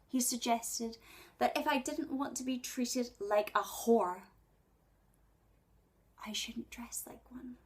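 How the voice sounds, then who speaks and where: A woman in her twenties performing a spoken word poem in a breathy voice, with intimate, deliberate dramatic phrasing. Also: fairly high pitch, slight background noise. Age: 20s. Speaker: poet. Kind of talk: spoken word poetry recital.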